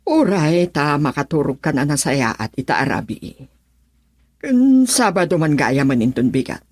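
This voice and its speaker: A caring mother in a radio drama, Filipino accent. Her voice is deep for a woman and breathy, gently coaxing, with a dry sound. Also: sing-song, moderate pace, dry recording, exaggerated voice. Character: caring mother of a grown student daughter.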